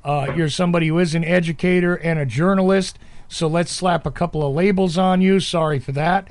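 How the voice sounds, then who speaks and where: A man's voice: on the high side, steady tone, a little fast, no room sound. A man hosting a podcast interview, talking in a rambling, emphatic way that keeps interrupting itself. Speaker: podcast host. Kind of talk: conversational interview.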